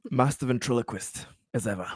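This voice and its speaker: Fawning male aide played by a man at a game table, understated flattery, swinging pitch, dry sound. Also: moderate pace, breathy edge, no echo. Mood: sycophantic praise.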